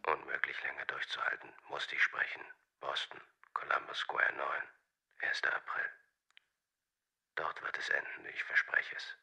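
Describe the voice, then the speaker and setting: An actor playing a desperate, secretive man in a German radio play, hushed and monotone, in a gravelly whisper. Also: a bass voice, moderate pace. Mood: ominous, desperate. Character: desperate, secretive man leaving an ominous message.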